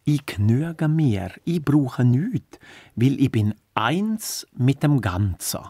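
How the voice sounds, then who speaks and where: A man's voice: mid-range voice, very animated, slow, mellow, no echo, studio quality, exaggerated voice. A man with a Swiss accent voicing an intellectual, pompous, easily annoyed earthworm in a children's radio play. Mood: weary, smug. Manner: pompous, precise, long-suffering.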